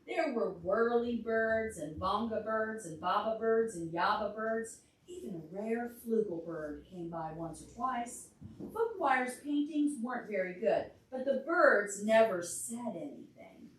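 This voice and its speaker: A woman reads a picture book aloud at an unhurried pace for small children. She sits well back from the microphone in a very echoey indoor room. She breaks off from the text to ask the children questions. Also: a little high, animated. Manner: asks the children questions along the way. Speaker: children's storytime librarian. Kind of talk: animated read-aloud to young children. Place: indoor library storytime room. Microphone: distant room microphone. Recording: echoey room, distant mic, faint noise.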